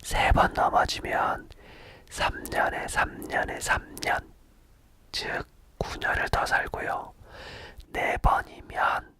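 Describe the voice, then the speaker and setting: A man's voice: takes it slowly, bright, forceful tone. A man whispering the part of a sly, cheerful salt seller in a folk tale. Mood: coaxing.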